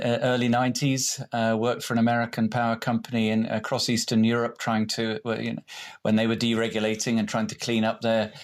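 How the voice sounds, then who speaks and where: A man, answering interview questions in a hesitant way, full of restarts and fillers. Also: on the low side. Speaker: renewable energy executive.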